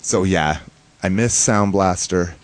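A man from the United States chatting casually as a podcast host, in a rambling, halting, informal way. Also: low-pitched. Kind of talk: casual chat, recounting a fan convention.

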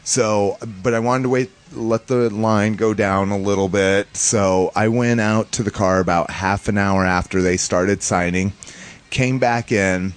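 A man from the United States chatting casually as a podcast host, in a rambling, halting, informal way. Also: fairly low pitch, steady tone, clear, resonant tone. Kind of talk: casual chat, recounting a fan convention.

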